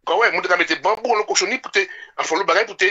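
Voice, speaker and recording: A man's voice: high-pitched, rapid-fire, sharp tone, husky, dull audio. A man with a French Caribbean accent in an impassioned, informal political discussion, repeating words emphatically. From French Antilles. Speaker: radio show speaker.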